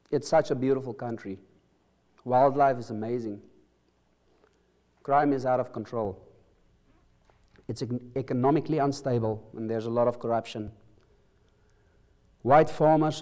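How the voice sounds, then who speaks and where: A middle-aged man with an Afrikaans accent delivers a sermon in an earnest, halting way, stressing words and restarting phrases. His voice is dark and mellow at a mid pitch. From South Africa. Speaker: preacher. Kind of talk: sermon with opening prayer.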